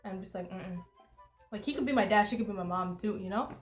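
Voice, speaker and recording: A woman's voice: medium pitch, fast. A young woman from Canada, a native Somali speaker, telling anecdotes casually with plenty of slang. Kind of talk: casual chat. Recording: roomy echo, music underneath. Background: background music.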